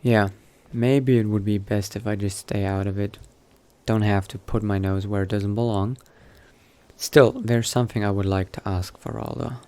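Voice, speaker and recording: A man playing a newly turned vampire mage in a game roleplay, musing to himself in a halting, politely understated way. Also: fairly low pitch, steady tone, mellow, lightly acted. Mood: pensive. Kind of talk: in-character video game roleplay narration.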